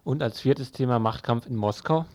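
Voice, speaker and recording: A man's voice: mid-range voice, moderate pace, darkish tone, no echo. A man presenting event listings and programme previews on the radio, addressing listeners informally. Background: music.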